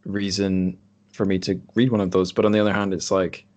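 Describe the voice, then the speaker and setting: A man with a low-pitched voice hosts a podcast conversation. He talks casually and reflectively, wandering through his thoughts as he goes. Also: little pitch movement, fairly quick, a little mellow. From Scotland. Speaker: podcast host. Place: group online call.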